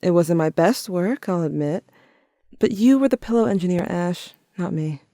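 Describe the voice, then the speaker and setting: A woman's voice: on the low side, lively intonation, moderate pace, dark tone, no room sound, clean studio sound. A woman playing a tender, wry, grieving teenager who narrates an audio drama in a reflective, confiding way. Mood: wistful, wry. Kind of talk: intimate first-person audio drama narration.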